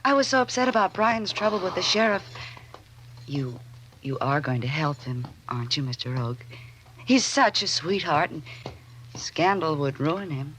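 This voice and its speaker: A woman's voice: low-pitched, expressive, normal speed, a bit bright. A glamorous wife with something to hide, in an old 1940s radio mystery. She coaxes and pleads with careful hesitation, over a faintly noisy vintage broadcast. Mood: anxious, pleading. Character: glamorous, evasive married woman, pleading and secretive. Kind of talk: 1940s radio drama acting.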